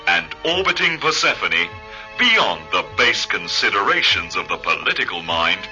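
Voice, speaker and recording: An actor playing a solemn narrator of a science fiction radio drama, speaking deliberately and portentously over music. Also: male voice, deep voice, very animated, bright, forceful tone, exaggerated voice. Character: solemn, measured male narrator of a space saga. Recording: music behind the voice.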